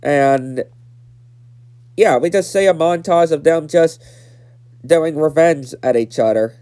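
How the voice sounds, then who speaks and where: A man's voice: a little high, slow, warm tone, clean tone. A nineteen-year-old man with a stuffed-up nose, casually recapping a cartoon episode and repeating himself with lots of fillers. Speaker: episode reviewer.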